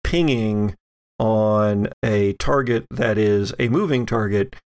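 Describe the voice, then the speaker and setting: A man narrating and recapping a tabletop roleplaying game as game master, in measured phrases with pauses. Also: fairly low pitch, darkish tone, clean tone.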